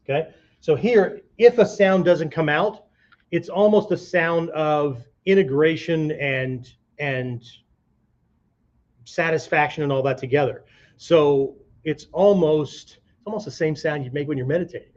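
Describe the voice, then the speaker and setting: Dull lo-fi audio, guy teaching martial arts, kinda higher voice, chatty n emphatic, keeps checking ur following. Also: moderate pace, darkish tone. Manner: conversational, emphatic, often checking with "right?" and "okay?". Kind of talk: informal spoken lesson with vocal demonstrations.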